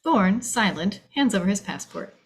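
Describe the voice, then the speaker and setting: A woman's voice: mid-range voice, lively intonation, normal speed, slightly rough. A woman narrating the stage directions at a screenplay table read, setting scenes in a dramatic way.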